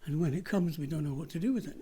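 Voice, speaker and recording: An actor playing a tender husband in a radio drama, gentle and reflective as the end nears. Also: male voice, on the high side, very fast, husky. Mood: wistful, tender.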